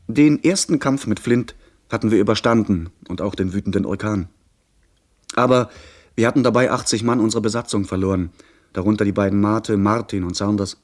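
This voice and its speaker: A man playing an earnest young gentleman who narrates his pirate adventure in measured, literary German radio-drama style. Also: fairly low pitch, close to an everyday voice. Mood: grave, recounting.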